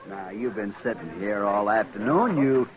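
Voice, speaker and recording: An actor playing a smug young army officer who mocks and goads, in old time radio western style. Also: male voice, fairly low pitch, animated, normal speed, a crowd in the background, lightly acted. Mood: smug, goading. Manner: mocking, smug, goading. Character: cocky, taunting young army cavalry officer. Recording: noisy old radio drama recording.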